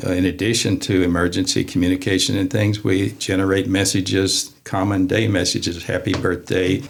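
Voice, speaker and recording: A man speaking as an interview guest, explaining in a measured, deliberate way with fairly formal wording. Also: low voice, little pitch movement, gravelly, a little hiss or hum. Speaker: guest, amateur radio club member.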